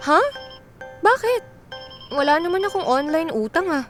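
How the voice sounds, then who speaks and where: An actress playing a kind, modest small-town pediatrician and former teen star in a Filipino radio drama. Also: female voice, extremely high pitch, animated, moderate pace. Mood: amused, flustered. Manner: caring, gently advising, playfully exclaiming. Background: background music.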